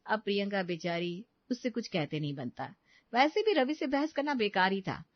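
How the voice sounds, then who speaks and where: A woman narrates a scripted domestic tale as a storyteller. Her voice sits at an ordinary pitch, recorded very dry with no room sound, and the audio is slightly dull and lo-fi. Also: sing-song, normal speed, no echo.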